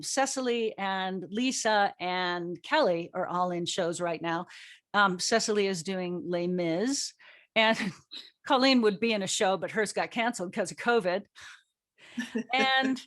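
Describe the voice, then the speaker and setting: A woman's voice: medium pitch, fairly bright tone. A woman moderating a panel, giving a scripted introduction with joking, self-deprecating asides and theatrical emphasis.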